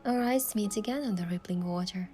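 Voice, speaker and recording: Female actor as young romance game heroine: medium register, soft storytelling, teasing and affectionate, faint music bed. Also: medium pitch, a little fast, lightly acted. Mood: tender. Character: playful, affectionate young heroine narrating her own romance. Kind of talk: romance game narration and dialogue.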